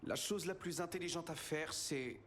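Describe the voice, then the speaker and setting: A man playing a confident, firm adventurer hero in a fantasy video game, speaking deliberately and assertively, on a noisy game capture. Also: on the high side, a bit bright, close to an everyday voice. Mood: firm, insistent.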